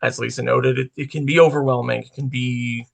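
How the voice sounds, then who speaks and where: A man's voice: mid-range voice, fairly even intonation, fairly quick, gravelly. A man speaking as a guest on a writers' panel, casual, reflective and tentative. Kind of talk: casual discussion.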